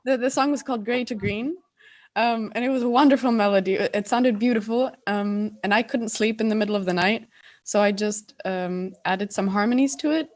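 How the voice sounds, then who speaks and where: A young woman from Slovakia, a singer, answering on a video call, soft-spoken and hesitant. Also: mid-range voice.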